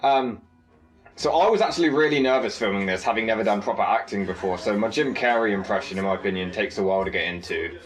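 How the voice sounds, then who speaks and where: A man's voice: on the low side, fast talker, rough recording. A man who made and acted in the film, talking casually on a cast commentary. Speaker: filmmaker and actor on a cast commentary.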